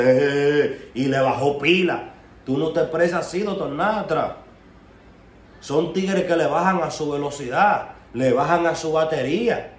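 A young man with a Dominican Spanish accent gives an emphatic, confrontational, slangy rant as an online commentator talking casually to the camera. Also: mid-range voice. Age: young adult. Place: small room at home, indoors. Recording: roomy echo, faint background noise.

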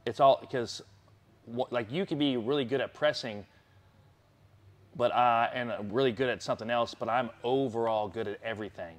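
A man's voice: medium pitch, normal speed. A young man answering interview questions in a plainspoken, eager way. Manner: eager, plainspoken, listing things off. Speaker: strongman competitor, guest.

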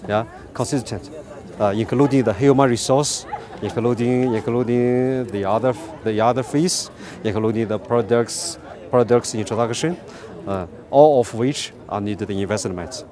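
A man in his thirties with a Chinese accent answering interview questions outdoors, haltingly and repeating phrases as he goes. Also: medium pitch, warm tone. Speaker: company representative being interviewed. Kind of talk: unscripted press interview. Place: outdoors beside a parked truck.

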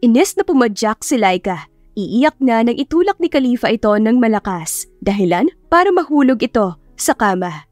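A woman's voice: on the high side, very animated, moderate pace, no echo. A woman with a Filipino accent reading a dramatized story as an audiobook narrator. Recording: dry voice over faint music. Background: soft background music.

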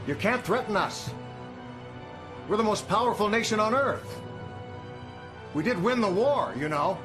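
An actor playing a middle-aged American president in a game cutscene, with an American accent and emphatic, melodramatic delivery. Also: male voice, sits high, lively intonation, a little slow, over music. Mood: defiant. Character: middle-aged male American president, pleading yet stern.

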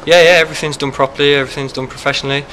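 Fast-talking young footballer, flat delivery. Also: male voice, fairly high pitch, slight background noise, rough recording. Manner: quick, steady answers with many fillers. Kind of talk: post-match interview. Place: press backdrop, indoors. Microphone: handheld mic held close.

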